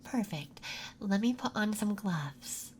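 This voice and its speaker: A gentle, reassuring ASMR performer playing a nurse, speaking softly and breathily through a soothing medical exam roleplay. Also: medium pitch, lively intonation, slow pace, a little hiss or hum. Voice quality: soft, breathy whispering.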